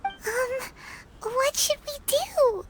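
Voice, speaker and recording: A woman voicing a tiny, earnest, anxious fairy companion in a video game, squeaky, childlike and hesitant. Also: lively intonation, drawn-out words, slight background noise, heavily acted. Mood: worried, hesitant.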